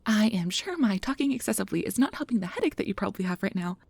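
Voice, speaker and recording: A woman playing a nervous, caring young magic researcher in an ASMR audio drama, rambling in a flustered, halting, apologetic way. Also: mid-range voice, animated, gravelly, darkish tone, slightly rough, no room sound.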